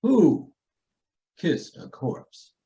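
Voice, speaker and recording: A man's voice: drawn-out words, dark tone, dull audio. A man playing a stern, imperious, wealthy father in an old-time radio style drama, curt and commanding.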